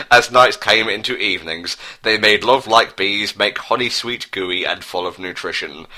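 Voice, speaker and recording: A man's voice: fairly low pitch, a little slow, pushed and bright, low-quality recording. A man narrating as co-host in a pompous, overblown mock-dramatic style, reading from a script.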